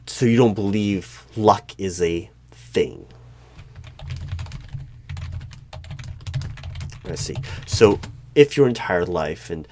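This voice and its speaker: A man playing a creepy, skeptical hiring manager in a one-sided job interview roleplay, speaking in a deliberate, probing way. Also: deep voice, a little mellow. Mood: measured probing. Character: creepy, skeptical male hiring manager of a mysterious secret organization. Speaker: roleplay performer.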